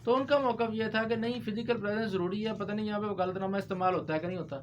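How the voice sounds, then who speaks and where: A man's voice: sits high, fairly even intonation, very fast, highs cut off. A man explaining legal procedure as a lawyer in discussion, mixing in English legal terms. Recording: clear background noise.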